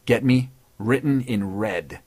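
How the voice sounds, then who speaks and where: A man's voice: mid-range voice, slow, a little mellow, slightly rough, no room sound. A man voicing a cocky, wisecracking male newspaper columnist with a taunting, sardonic delivery.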